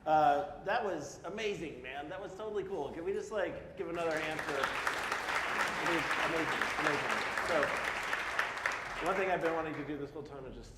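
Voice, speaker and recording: A man's voice: on the high side, moderate pace, over a noisy crowd. A man in his forties from Peoria, Illinois, giving a casual, self-deprecating conference talk and asking the audience questions. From Peoria, Illinois (US Midwest). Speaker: speaker at a public talk. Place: large hall in front of an audience. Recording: echoey hall with faint noise.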